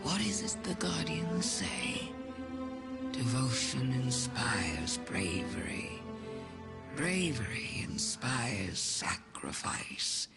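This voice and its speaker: A cunning, wistful alien witch queen, speaking very slowly in hushed, measured phrases. It is a theatrical video game monologue under loud music. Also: rumbling low, very animated, vocal fry, full character voice. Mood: wistful, solemn.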